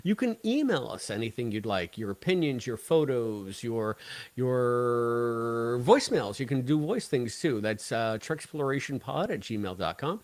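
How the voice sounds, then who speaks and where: A man's voice: mid-range voice, expressive, measured pace, darkish tone, no room sound. A man hosting a casual live podcast, bantering with jokey wordplay and a playfully theatrical delivery. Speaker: podcast host.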